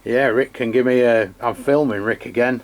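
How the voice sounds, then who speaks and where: Halting English man chatting about radios. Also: medium pitch, a little hiss or hum. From England. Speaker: amateur radio channel host. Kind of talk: casual unscripted radio chat and demo. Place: beside his radio set, filming the equipment.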